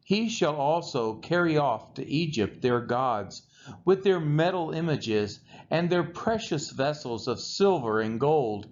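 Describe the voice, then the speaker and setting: Slow, expressive man reading scripture. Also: mid-range voice. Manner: measured, deliberate phrasing. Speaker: scripture reader. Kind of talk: solemn read-aloud of scripture. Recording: dull lo-fi recording with faint noise.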